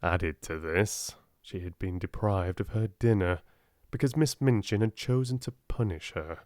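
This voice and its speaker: Audiobook narrator: British man, soft-spoken and unhurried, warm dark tone, gentle storybook delivery, close and dry. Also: low-pitched, no echo.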